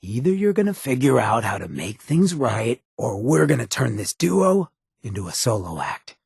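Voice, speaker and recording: Very raspy streetwise ghoul rogue. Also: male voice, mid-range voice, very animated, normal speed, a little mellow, dry recording, studio quality, exaggerated voice. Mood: stern, angry. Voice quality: raspy, gravelly. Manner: streetwise, colloquial, swearing drawl. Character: roguish ghoul man, streetwise companion, blunt but loyal. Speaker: companion character in a video game. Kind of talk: video game dialogue acting.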